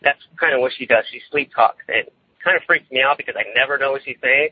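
A young man, calling in by phone and telling a personal story in a casual, rambling, nervous way, often halting and repeating himself. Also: medium pitch, breathy edge. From California, United States. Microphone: phone line. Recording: tinny, boxy phone call.

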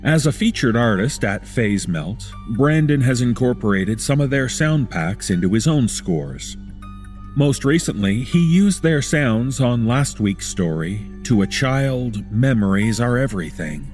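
A man reading scripted narration as a podcast host, in a measured, deliberate way. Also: mid-range voice, expressive, a little mellow. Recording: music under the voice. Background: background music.

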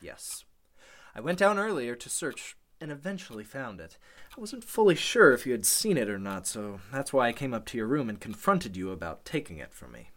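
A man acting as an injured schemer in an old-fashioned radio drama. His delivery is urgent and persuasive, with very animated pitch at a middle register, stammering now and then as he feigns concern. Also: normal speed. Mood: smug, menacing. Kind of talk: Golden Age style radio play acting.

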